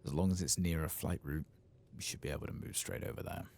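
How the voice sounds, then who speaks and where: A soft-spoken man giving ASMR video game commentary, gentle and unhurried, musing to himself and sometimes slipping into whispers. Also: rumbling low, steady tone.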